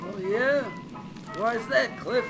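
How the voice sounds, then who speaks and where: A man's voice: very high voice, slow. An actor playing a bossy, slangy airfield controlman in an old time radio drama. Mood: brash, teasing. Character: brash, dutiful airfield controlman, bossy with his partner. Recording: music bed behind the dialogue.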